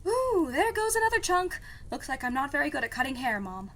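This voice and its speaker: A woman's voice: high-pitched, very animated, a little fast, fairly bright tone, heavily acted. A woman playing a stubborn, sardonic young princess in an audio drama, speaking in a dry, defiant way. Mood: sarcastic. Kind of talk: audio drama podcast acting.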